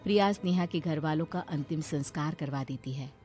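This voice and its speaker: A woman's voice: low voice, brisk, dark tone. A woman storyteller giving a dramatic, scripted narration of a story. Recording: music behind the voice.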